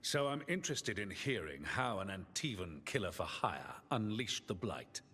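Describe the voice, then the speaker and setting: An actor playing a stern, dismissive military commander in a video game, speaking with pompous, deliberate authority. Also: male voice, lowish voice, very animated, pushed and bright. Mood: cold, scornful. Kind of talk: dramatic video game dialogue. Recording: long reverb, music behind.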